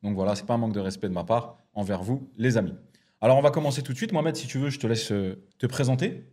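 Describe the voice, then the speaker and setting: A man hosting a casual podcast interview in a studio, talking in a relaxed way with many fillers. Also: medium pitch, fast talker. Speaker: podcast host.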